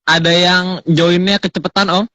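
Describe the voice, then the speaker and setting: Teasing man with a bright voice. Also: high-pitched, little pitch movement, normal speed, no echo. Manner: chatty, teasing, short replies. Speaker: co-host. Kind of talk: casual live-stream chat. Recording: dull lo-fi stream audio.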